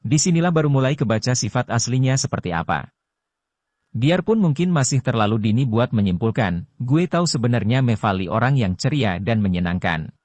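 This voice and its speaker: A man narrating a first-person novel in casual Jakarta slang, in an even reading manner. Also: mid-range voice, fairly quick, no room sound. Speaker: audiobook narrator.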